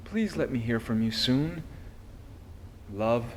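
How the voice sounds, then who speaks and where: Man reading aloud, lively swings in pitch. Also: slow, noisy background. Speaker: reader of a historical letter. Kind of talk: expressive read-aloud of a personal letter.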